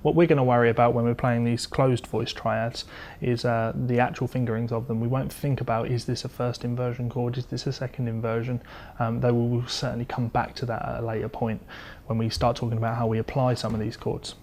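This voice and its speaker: A man's voice: medium pitch, level tone, fairly quick, darkish tone, slight background noise. A man giving an informal guitar lesson, explaining step by step as he demonstrates. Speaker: guitar teacher. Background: electric or acoustic guitar being played.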